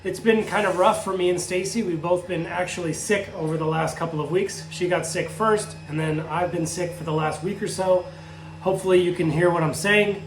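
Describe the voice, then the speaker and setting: A man's voice: high voice, fairly quick, low-quality recording. Sick-sounding man in a workshop. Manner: steady, explains step by step. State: sick, nearly lost voice, sore throat. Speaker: shop owner showing parts. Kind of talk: casual product update. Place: workshop with a 3D printer running. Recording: faint printer hum behind. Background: faint printer noise.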